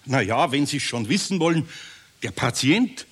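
A kindly older Bavarian craftsman, husky and swinging widely in pitch. He sounds homely and flustered, stumbling into hesitations, with the warm-hearted worry of a children's radio play character. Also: animated, normal speed. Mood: sheepish. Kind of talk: 1970s German children's radio play acting.

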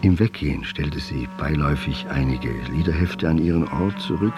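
An actor playing a pensive author, narrating a literary audio drama in a measured, contemplative way. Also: male voice, rumbling low. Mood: measured, wistful. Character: pensive male author telling his tale. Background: music.

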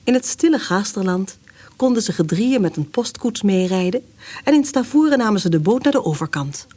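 Female narrator for period radio drama: husky, expressive storytelling with lively intonation. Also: mid-range voice, fairly quick.

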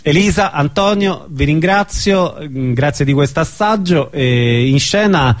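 A man's voice: fairly high pitch, lively intonation, unhurried. A man hosting a casual radio interview, asking questions in a hesitant, informal way. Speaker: radio host.

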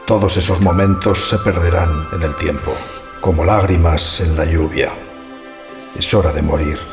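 An actor playing a solemn, melancholy dying android in radio theatre, grave and dramatic in delivery. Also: male voice, low-pitched, fairly even intonation, normal speed. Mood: solemn, mournful. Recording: music clearly behind the voice.

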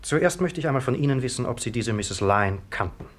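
A male actor as a young police sergeant in a vintage radio mystery. He speaks at a medium pitch, with formal courtesy and brisk official authority. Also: animated, natural voice. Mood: official, grave. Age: young adult. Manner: polite, formal, measured. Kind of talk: 1950s radio play acting.

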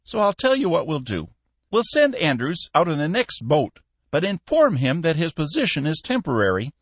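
A man's voice: huge pitch swings, normal speed, no room sound, exaggerated voice. A man doing a sly, purring old shipping boss. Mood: sly amiable. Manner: high, purring, amiably sly. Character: shrewd, meddling old male shipping boss.

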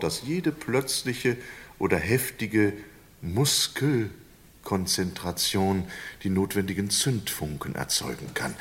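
A man's voice: lowish voice, a bit bright. An actor playing a condemned prisoner in a radio crime play, unruffled and elaborately polite, drawing out his menace with mocking courtesy. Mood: calm polite menace.

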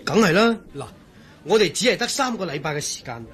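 Radio drama support: earnest engineer, Hong Kong Cantonese, slightly high voice, very lively and emphatic. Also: male voice, a little fast. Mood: insistent. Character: earnest, regretful male engineer in charge of waterworks.

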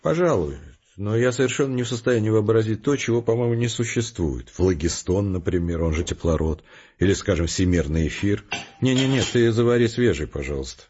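An actor playing a pedantic, ironic, unflappable intellectual skeptic in a Russian radio drama, speaking precisely. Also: male voice, on the low side, lively intonation, brisk, darkish tone, slightly rough, dull audio. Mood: dry, polite.

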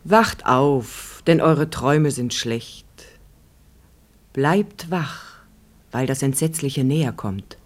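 Man as a slow, solemn warning voice. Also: mid-range voice, lightly acted. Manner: solemn, measured, admonishing. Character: solemn, admonishing poetic voice warning sleepers. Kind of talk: poetic radio drama recitation.

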